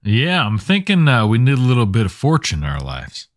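A man's voice: medium pitch, wildly swooping pitch, brisk, no room sound, clean studio sound. A man playing a tabletop game, laid-back and easygoing. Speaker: player. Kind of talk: casual tabletop chat.

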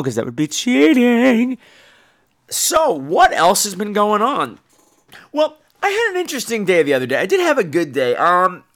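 A man hosting a podcast, ranting casually and profanely about his day while losing his voice to a coming cold. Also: mid-range voice. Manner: profane, exasperated, animated ranting. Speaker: horror podcast host. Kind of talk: casual solo podcast rant.

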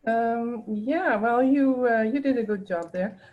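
A woman in her seventies from the Netherlands with a Dutch accent, recalling things in a halting way as a podcast guest. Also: on the high side, phone-quality sound. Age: 70s. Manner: halting, with frequent restarts and light laughter. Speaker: guest artist. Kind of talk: casual podcast interview.

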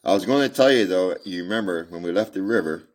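A man reading the part of a weary frontier man, gentle with a child, in a folksy drawl. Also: deep voice, fast talker, natural voice. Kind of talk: amateur audiobook reading.

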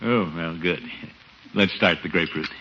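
A man's voice: low-pitched, moderate pace, sharp tone, breathy edge, full character voice. A man playing a fretful, pompous middle-aged uncle, with hesitant, theatrical delivery in a 1950s radio comedy. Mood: put out. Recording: muffled vintage radio recording.

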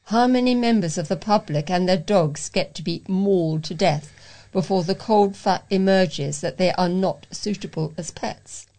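A woman's voice: lowish voice, moderate pace, dark tone. A British woman reading newspaper letters aloud as a volunteer news reader.

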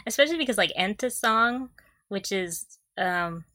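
Bright-voiced, giggly woman co-hosting a podcast. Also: mid-range voice, lively intonation, moderate pace, no room sound, studio quality. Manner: giggly, enthusiastic. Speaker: podcast co-host. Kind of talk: casual fan discussion.

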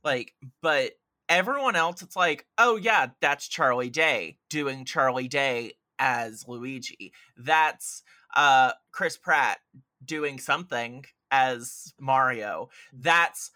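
A man's voice: medium pitch, animated, slow pace, pushed and bright. A man giving emphatic, casual opinions as a podcast commentator in a film discussion. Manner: emphatic, mildly profane, uses mimicked quotes.

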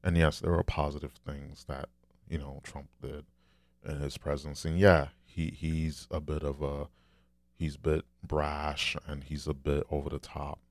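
A man with a deep, low, soft voice, talking candidly and rambling in a casual solo monologue, swearing now and then. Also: flat delivery, normal speed, dry recording.

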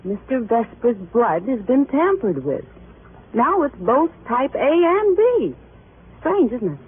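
An actress playing a wry, brisk police laboratory scientist with dry, precise delivery in a 1950s radio drama. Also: female voice, sits high, sing-song, background noise, full character voice. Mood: wry, intent. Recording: phone-like old radio broadcast.

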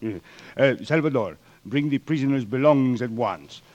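A man's voice: mid-range voice, moderate pace, darkish tone, muffled recording, natural voice. Man playing a hesitant, courteous Spanish prison governor. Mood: sympathetic. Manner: hesitant, bumbling, polite. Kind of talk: 1940s radio acting. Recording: faintly noisy old radio recording.

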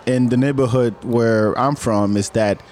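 A man's voice: mid-range voice, fairly even intonation, unhurried, a little mellow. Guy from senegal telling a spooky story, rambly, keeps repeating himself. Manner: rambling, repeats words, acts out dialogue. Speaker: guest storyteller. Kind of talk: casual spoken ghost story.

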